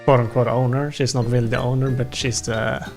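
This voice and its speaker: A man's voice: mid-range voice, flat delivery, fairly quick. A young man with a non-native English accent, chatting casually as he streams a game, rambling and self-deprecating. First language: not English. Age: young adult. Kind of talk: casual chat while gaming. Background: music.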